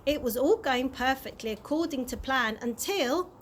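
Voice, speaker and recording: A woman narrating a children's chapter book aloud in an expressive storytime style. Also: high voice, normal speed. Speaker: early years practitioner reading a story. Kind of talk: animated children's audiobook narration. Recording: clear background noise.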